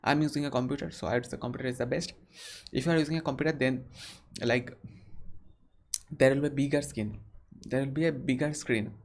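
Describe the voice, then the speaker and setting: A man in his early twenties from West Bengal with a Bengali Indian accent, answering viewer questions casually and deliberately, often repeating his phrases. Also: mid-range voice, fairly even intonation. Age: early 20s. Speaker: YouTuber hosting a Q&A live stream.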